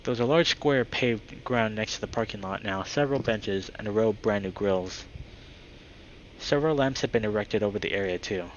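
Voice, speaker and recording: A man's voice: fairly low pitch, brisk, highs cut off, slight background noise. A man speaking as a live streamer, moving between friendly chat and reading a story aloud. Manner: upbeat, friendly, excitable when greeting viewers.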